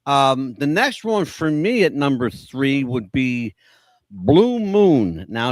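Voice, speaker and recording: A man's voice: fairly high pitch, dry recording. A man co-hosting a podcast, telling chatty, self-deprecating stories about songs from his past. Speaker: podcast co-host. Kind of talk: casual chat about favorite songs.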